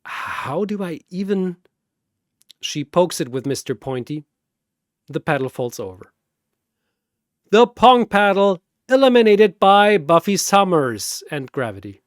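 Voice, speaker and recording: A man narrating a scripted battle story in a theatrical, deliberate way, like a dramatic commentator. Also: high-pitched, smooth, no room sound, clean studio sound.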